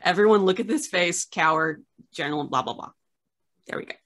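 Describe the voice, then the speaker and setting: Woman, 30s, low-pitched, casual chatty host on a webcam call, filler-heavy and self-mocking. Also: animated, fairly quick, a bit bright. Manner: chatty, many filler uhs, self-deprecating jokes. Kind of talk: casual video-call interview. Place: home room with framed art on the wall.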